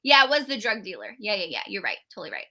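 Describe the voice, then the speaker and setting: Bright-voiced woman chatting about books. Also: medium pitch, huge pitch swings, racing. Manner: chatty, rambling, many fillers and restarts. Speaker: book club co-host. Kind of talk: casual live book discussion.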